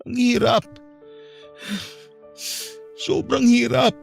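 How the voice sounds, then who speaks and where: A man's voice: extremely high pitch, little pitch movement, very slow, creaky, faint music behind, exaggerated voice. An actor playing a grief-stricken, guilt-ridden young Filipino man in a radio drama, anguished and despairing. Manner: anguished, despairing, self-blaming.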